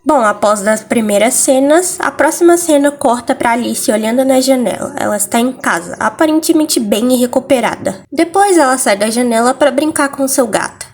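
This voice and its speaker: A woman's voice: sits high, animated, normal speed, hardly any pauses. A Brazilian woman narrating a scripted video recap and commentary, explaining things with informal asides. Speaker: video essayist.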